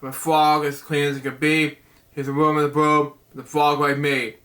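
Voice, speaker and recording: A man reading out an eager, polite little frog from a bedtime storybook. The voice is bright and slightly high, and the reading moves slowly, close to his everyday reading voice. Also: little pitch movement, natural voice. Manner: eager, asking to join. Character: polite small male frog.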